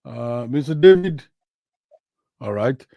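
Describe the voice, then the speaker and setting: A male host with a mid-pitched voice who hesitates and restarts often while welcoming and questioning callers. His voice is recorded dry and close, with no room echo. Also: animated, slow pace, gravelly, dull audio. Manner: hesitant, many fillers and restarts. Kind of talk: live call-in talk show.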